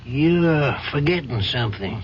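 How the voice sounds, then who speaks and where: An actor playing an older, compassionate judge in an old-time radio drama, reasoning in a measured, probing, paternal manner. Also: male voice, mid-range voice, expressive, lightly acted. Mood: earnest, admonishing. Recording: dull, faintly noisy old radio recording.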